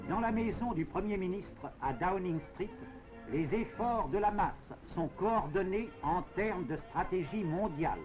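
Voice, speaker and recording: A man delivering formal, measured, scripted narration in the declamatory style of a 1940s propaganda film. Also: sits high, animated, low-quality recording. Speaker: newsreel narrator. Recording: 1940s film soundtrack with music. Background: music.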